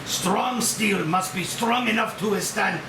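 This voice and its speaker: A man's voice: high-pitched, unhurried. A man playing a blunt, technical animal expert briefing a dangerous mission in a screenplay table read. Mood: instructive, grave. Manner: punctuates explanations with "Oi!". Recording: roomy, distant mic, clear background hiss.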